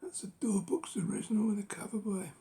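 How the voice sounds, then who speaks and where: A man with a hoarse, gravelly voice that keeps dropping to a half-whisper, musing unhurriedly over his book collection with many ums and rights. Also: high-pitched, fairly quick, dark tone. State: losing his voice. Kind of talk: casual collection walkthrough.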